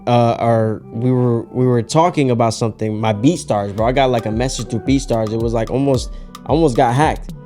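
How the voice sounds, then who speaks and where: A man's voice: mid-range voice, brisk. A man producing music, chatting casually about making a song, rambling with fillers and slang. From Puerto Rican heritage. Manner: relaxed, rambling, lots of fillers and "bro". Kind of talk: casual chat.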